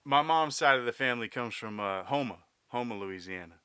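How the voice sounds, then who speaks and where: A man's voice: medium pitch, expressive, fairly quick, bright, forceful tone, clean tone, dry recording, clean studio sound. A man hosting a podcast, chatting easily and agreeably with his guest. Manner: easygoing, agreeable, frequent backchannel. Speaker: podcast host. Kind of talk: casual interview chat.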